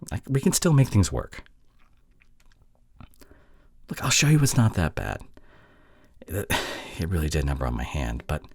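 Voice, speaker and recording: A very low-voiced young boyfriend, acted by a man in an intimate roleplay audio drama. He is sheepish and affectionate, pleading softly in a breathy, halting way, and his pitch moves around a great deal. The sound is close and very dry. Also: no room sound, exaggerated voice. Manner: soft, halting, pleading delivery with breathy drops toward a whisper.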